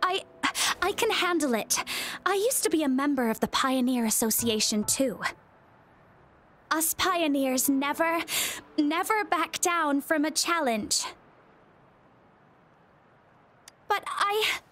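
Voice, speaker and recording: High-voiced, earnest young woman. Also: animated, measured pace, fairly bright tone, slight background noise. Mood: hesitant, determined. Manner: earnest, hesitant, pleading. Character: worried, determined young woman, former pioneer, seeking help. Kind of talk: scripted video game dialogue.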